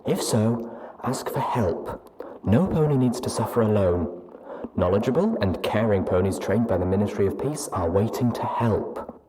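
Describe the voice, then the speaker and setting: Formal male announcer, put on by a story reader, clinical, earnest, deliberate, instructive. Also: lowish voice, animated, noisy background. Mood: solemn, instructive. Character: clinical wartime public health notice voice.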